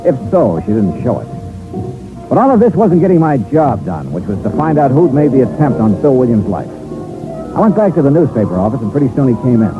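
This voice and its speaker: Man playing wry detective, unflappable, dry casual delivery, animated pitch, vintage radio drama, narrow tinny recording. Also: fairly quick, natural voice. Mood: wry narrating. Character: wry, unflappable male insurance investigator narrating his case. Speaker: lead and narrator. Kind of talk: 1950s radio detective drama, narration and dialogue. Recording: tinny band-limited old radio recording, music behind.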